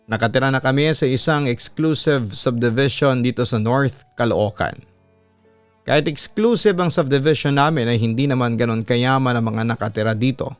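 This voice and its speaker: A Filipino man narrates a written personal story in the practised style of a radio announcer. His voice sits at a middle pitch and is recorded close and dry. Music plays softly behind him as he reads. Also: normal speed, clean tone, no room sound. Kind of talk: scripted narration of a listener's letter.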